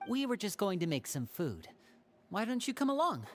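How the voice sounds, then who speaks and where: An actor playing a charming young male magician who is a protective older brother, speaking smoothly and coaxing answers out of his sibling in a video game. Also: sits high, huge pitch swings, moderate pace, soft background music. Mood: friendly. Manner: smooth, coaxing, gently probing.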